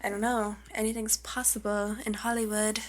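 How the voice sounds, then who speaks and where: A young woman co-hosting a podcast in a casual, gossipy chat, animated and slangy, swearing freely. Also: mid-range voice, moderate pace. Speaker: podcast co-host.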